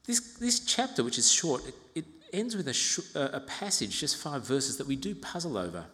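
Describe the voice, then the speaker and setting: A man in his fifties from Uganda preaching an expository sermon as a pastor, in a measured, reflective way with pauses and gentle asides. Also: mid-range voice, very animated. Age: 50s. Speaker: pastor preaching to own congregation. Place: large reverberant church interior. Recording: reverberant hall.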